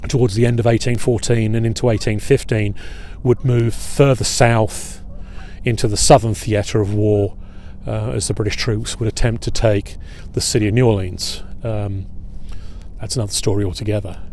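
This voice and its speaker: Middle-aged man, history guide, outdoor tour talk, dark mellow tone, halting with lots of ums, faint outdoor hum behind. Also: lowish voice. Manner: relaxed, hesitant, with many filler pauses. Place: outdoors at a waterfront fort.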